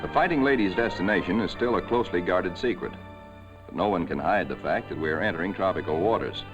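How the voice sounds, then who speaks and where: A man giving scripted narration for a 1940s wartime documentary, in a measured, solemn way, on an old film soundtrack. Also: deep voice, dull audio, over music.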